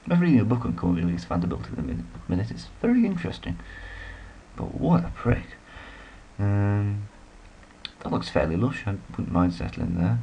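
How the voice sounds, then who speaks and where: A man's voice: fairly low pitch, big pitch swings, moderate pace, gravelly, warm tone, lo-fi sound, a little hiss or hum. A man giving rambling, casual commentary while playing a strategy game, full of self-deprecating asides. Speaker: let's play commentator.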